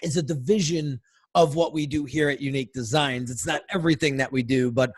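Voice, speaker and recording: A man's voice: medium pitch, steady tone, fairly quick, husky, no room sound. A man hosting a casual podcast interview, enthusiastic and prone to repeating himself, with the odd swear. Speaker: podcast host.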